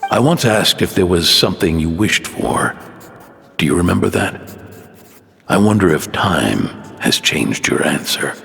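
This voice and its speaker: A man voicing a doting, philosophical inventor father in a video game, reading letters in a soft, measured, tender voice-over. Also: low-pitched, gravelly, heavily acted. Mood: wistful, fond. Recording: long reverb on the voice.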